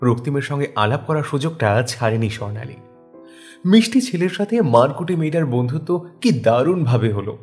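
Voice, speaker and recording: A male narrator reads a romantic story with dramatic feeling. His voice sits at a middle pitch and sounds wistful, with soft instrumental music behind him. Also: very animated, brisk.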